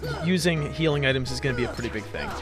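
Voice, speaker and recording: A man's voice: mid-range voice, expressive, racing, music in the background. A man giving casual, hesitant, rambling commentary as he hosts a video game playthrough. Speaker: let's play host.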